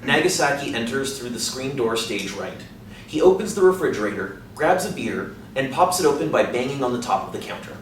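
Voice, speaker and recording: A man's voice: medium pitch, sing-song, a little fast. Man reading stage directions, echoey room. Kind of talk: scripted narration at a play reading. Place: small indoor room, seated. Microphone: distant camera. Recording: echoey room, distant mic, faint noise.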